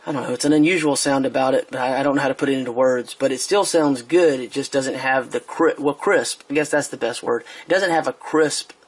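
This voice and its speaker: A man with a gravelly, slightly husky voice talks through a product review at an everyday pitch. He hesitates often, restarts his sentences and fills the gaps. He sounds casual and unscripted, as if working the thing out on the spot. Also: fairly quick, hardly any pauses. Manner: hesitant, lots of fillers and restarts.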